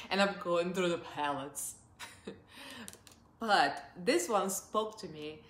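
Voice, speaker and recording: A woman's voice: fairly low pitch, slow, a bit bright, reverberant. A woman in her forties from Russia with a Russian accent, chatting upbeat through a makeup review from her sofa. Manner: chatty and upbeat, laughs often. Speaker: beauty vlogger. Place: living room, seated on a sofa.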